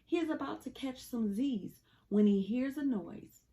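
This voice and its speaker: A woman reading a children's picture book aloud in an animated, deliberate storytelling manner. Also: high voice. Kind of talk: expressive scripted read-aloud of a picture book.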